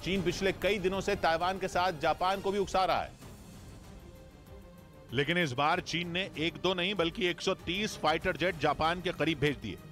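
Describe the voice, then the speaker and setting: A man reading a scripted news bulletin in a steady, formal newsreader delivery. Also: high-pitched, moderate pace, soft background music. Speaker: news reader.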